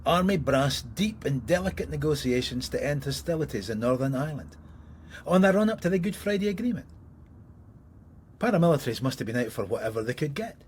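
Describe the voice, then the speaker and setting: An actor playing a sardonic middle-aged police detective in a radio crime drama, needling and teasing with dry sarcasm in a husky voice. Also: male voice, fairly high pitch, expressive, a little fast, slight background noise, close to an everyday voice. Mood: probing.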